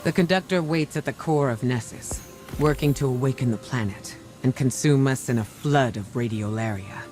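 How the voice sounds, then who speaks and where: An actor playing a sober, resolute commander, giving a measured mission briefing in a video game. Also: male voice, mid-range voice, a little mellow, soft background music, close to an everyday voice. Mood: grave, urgent. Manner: measured, serious.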